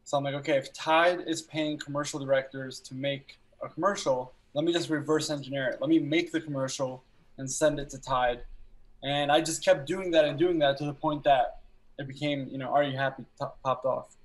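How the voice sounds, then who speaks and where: Young male guest, Albanian first language, slightly higher voice, relaxed storyteller, laptop mic. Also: fairly quick. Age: young adult. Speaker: guest filmmaker. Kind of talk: casual interview chat. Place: small room at home.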